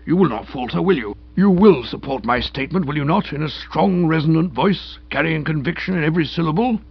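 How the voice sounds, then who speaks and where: A man's voice: fairly high pitch, expressive, brisk, gravelly, husky, highs cut off, voice pushed up, full character voice. A man voicing a pompous, blustering older British lord and magistrate who speaks in orotund, long-winded sentences. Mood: brisk, fussy.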